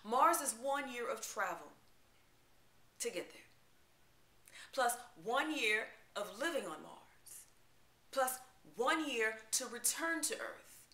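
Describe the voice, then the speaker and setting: Woman, spoken-word poetry reading, sharp bright tone, emphatic theatrical phrasing, deliberate pauses, echoey room. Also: fairly high pitch, big pitch swings. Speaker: poetry reader. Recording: roomy, echoing live-room sound.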